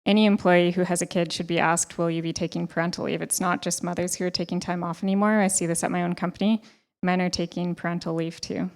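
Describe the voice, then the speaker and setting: A young woman gives advice from a panel stage, speaking into a handheld mic in a ballroom. Her voice is smooth and sits a little low, and her delivery is earnest and measured. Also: level tone. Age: young adult. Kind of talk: panel discussion talk.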